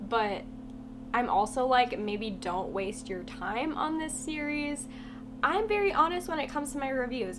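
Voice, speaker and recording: A woman with a congested, half-lost voice from a cold, giving a chatty, blunt book review vlog with lots of "like" fillers. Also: on the high side. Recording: steady humming background noise.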